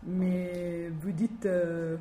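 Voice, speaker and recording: Slow, hesitant Italian-accented woman. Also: on the low side, flat delivery, dark tone. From Italy. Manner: halting, searching for words with many fillers. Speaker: guest lecturer. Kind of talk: academic talk. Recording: echoey room, faint background noise.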